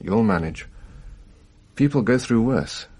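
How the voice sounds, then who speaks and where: An actor playing a pragmatic family doctor in a radio drama, consoling in a brisk, matter-of-fact way. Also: male voice, on the low side, animated, darkish tone, slightly rough, exaggerated voice.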